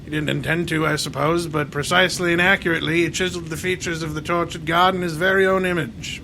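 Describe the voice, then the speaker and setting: A man playing a pompous genius detective, bright and forceful. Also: a little high, fairly even intonation, creaky, a little hiss or hum, rough recording. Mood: smug, satisfied. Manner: grandiloquent, deliberate, theatrical. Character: pompous, erudite, gluttonous male genius detective. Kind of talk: old-time radio detective acting.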